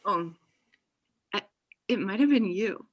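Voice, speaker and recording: A woman's voice: mid-range voice, lively intonation, takes it slowly, lo-fi sound. A young woman playing a chatty, overenthusiastic and possessive best friend in a comedy table read over a video call. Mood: gushing, teasing. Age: young adult. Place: indoor room on a video call.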